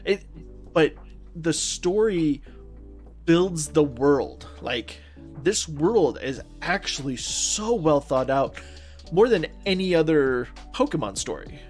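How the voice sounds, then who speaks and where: Enthusiastic higher-voiced young man. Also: lively intonation, slow, a little mellow, faint music behind. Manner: enthusiastic, peppered with "like". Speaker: podcast co-host. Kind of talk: casual chat.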